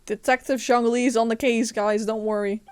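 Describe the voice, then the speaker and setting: High-voiced woman streaming a game. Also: steady tone, brisk, darkish tone, clear, resonant tone, no echo. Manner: joking, reactive. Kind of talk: casual live gameplay commentary.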